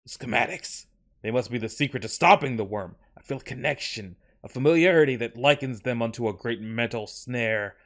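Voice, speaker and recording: A man's voice: medium pitch, brisk, fairly bright tone, natural voice. A man voicing a tormented, obsessed war veteran and inventor in a solemn, dramatic first-person reading of a horror story. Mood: troubled, urgent.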